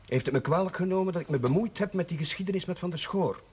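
An actor playing a kindly, persuasive village chaplain in a 1970s television drama, speaking in a measured, earnest way. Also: male voice, high-pitched, darkish tone, husky. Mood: solemn, pleading. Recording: noisy 1970s television soundtrack.

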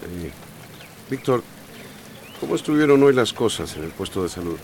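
An actor playing a responsible, careworn emergency committee leader in a radio soap opera, measured and authoritative. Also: male voice, fairly low pitch, very animated, darkish tone, a little hiss or hum. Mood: businesslike.

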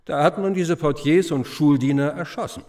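An actor playing a cynical, gossipy schoolteacher in a radio drama, chatting with ironic, conspiratorial relish. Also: male voice, a little high, normal speed, darkish tone, close to an everyday voice. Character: gossipy, sardonic schoolteacher, cynical confirmed bachelor. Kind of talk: conversational radio drama acting.

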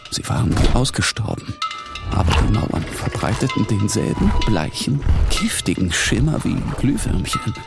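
A man's voice: fairly low pitch, creaky. A man narrating a literary interior monologue in a dramatic scripted style. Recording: loud music over the voice.

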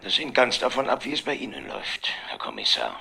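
A man playing a cool, conspiratorial police president in a radio play, speaking with measured, curt authority. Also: mid-range voice, wildly swooping pitch, creaky, pushed and bright, slight background noise, exaggerated voice.

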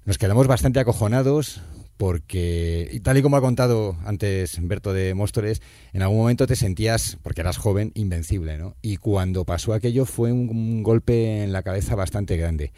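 A middle-aged man with a Spain Spanish accent hosts a radio talk. His voice is low and dark, and it is recorded dry. He speaks candidly and reflectively, with the odd filler. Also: normal speed, dry recording. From Madrid area, Spain. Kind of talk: casual radio chat.

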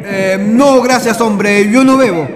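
A man's voice: high-pitched, slow pace, background noise. A man playing an earnest, observant travelling companion in a radio drama. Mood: uneasy.

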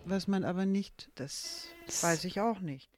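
A woman's voice: fairly low pitch, a little slow, a little mellow. A woman in an experimental radio play, musing in short questions and fragmented, reflective thoughts. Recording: voice mixed with music. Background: music.

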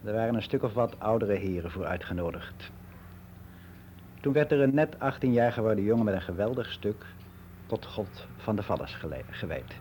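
A man's voice: fairly low pitch, lively intonation, moderate pace, a little mellow, a little hiss or hum, close to an everyday voice. An actor playing a candid, reflective older man, confiding matter-of-factly in a conversational radio drama monologue. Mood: frank, wry. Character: candid, reflective ageing man, worldly and unbelieving.

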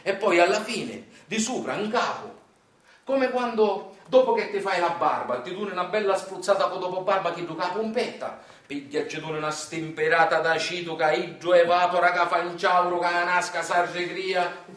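A man's voice: high voice, moderate pace, a bit bright. An actor playing a folksy, garrulous Sicilian man in a theatrical monologue, with a colloquial, repetitive and emphatic way of talking and a Sicilian accent. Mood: instructive, animated. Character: folksy, garrulous Sicilian man explaining recipes to his son. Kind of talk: theatrical storytelling monologue in dialect-laced colloquial speech. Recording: roomy, distant stage recording with faint noise.

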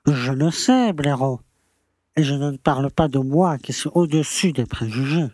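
Casting: male actor for pompous, slippery town mayor, talking down to everyone, fairly high voice, radio play. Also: expressive, unhurried, darkish tone, dry recording. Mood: condescending. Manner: pompous, patronizing. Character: pompous small-town French mayor, condescending and evasive. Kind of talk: audio drama acting.

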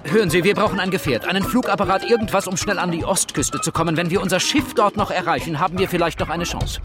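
An actor playing a proper, rational English gentleman traveler in a comic radio drama, polite and pedantic in manner. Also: high-pitched, fast, natural voice. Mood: urgent. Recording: music behind the voice.

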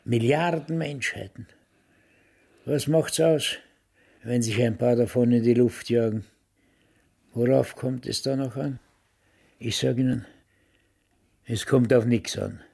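An actor playing a cynical, grumbling widower of about ninety in a radio play, with a husky, gravelly Austrian voice. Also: male voice, mid-range voice, slow pace, long pauses, a little mellow, heavily acted. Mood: bleakly cynical. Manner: grumbling, blunt, dismissive.

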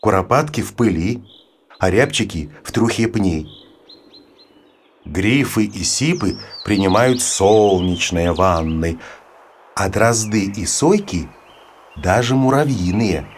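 A man with a husky voice reads an educational narration for children in an expressive, storytelling manner. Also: on the low side, slow, slight background noise. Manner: storytelling, poses questions to the listener. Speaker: audiobook narrator.

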